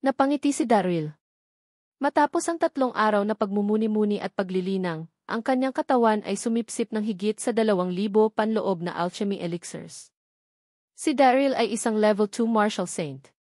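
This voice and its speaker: A woman's voice: medium pitch, moderate pace, a little mellow, dry recording, studio quality. A woman reading scripted fantasy novel narration as an audiobook narrator.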